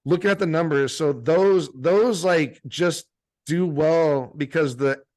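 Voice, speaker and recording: A man's voice: fairly high pitch, takes it slowly, darkish tone. A young adult man, a meditation teacher and guest, chatting casually with lots of "like" and swearing. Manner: casual, heavy use of "like" and swearing. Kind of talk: casual interview chat.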